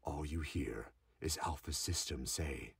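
A man's voice: very deep voice, unhurried, vocal fry, fairly bright tone, breathy edge, dry recording. A man narrating a scripted audio drama, recorded at some distance from the microphone with noise behind him. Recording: distant mic with background noise.

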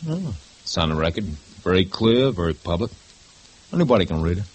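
A sneering husky bully. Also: male voice, deep voice, very animated, fairly quick, heavily acted, rough recording. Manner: mocking, sarcastic, domineering. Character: sneering, bullying husband and witness. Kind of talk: 1950s radio drama acting.

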